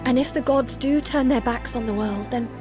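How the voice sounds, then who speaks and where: An actress playing an earnest, resolute heroine with a measured manner in a video game cutscene. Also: female voice, fairly high pitch, natural voice. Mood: calm, resolute. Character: earnest, resolute heroine seeking the truth from gods. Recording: music behind.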